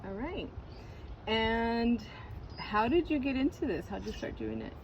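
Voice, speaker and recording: A woman's voice: a little high, wildly swooping pitch, measured pace, highs cut off, slight background noise. Woman interviewer with short questions. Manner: brief prompting questions and affirmations.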